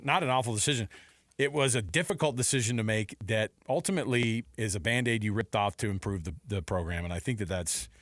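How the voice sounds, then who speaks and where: Fast-talking, emphatic male sports radio host. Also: mid-range voice, no echo, studio quality. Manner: emphatic, informal, repeats himself. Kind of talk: lively, opinionated sports talk. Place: radio studio. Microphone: close broadcast studio mic.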